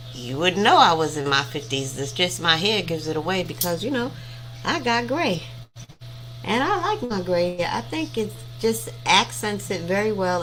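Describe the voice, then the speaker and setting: Woman, 50s, livestream host, easygoing rambling chat, crisp forward tone, takes her time. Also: fairly low pitch, pushed and bright, rough recording. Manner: rambling and chatty, thanks viewers by name.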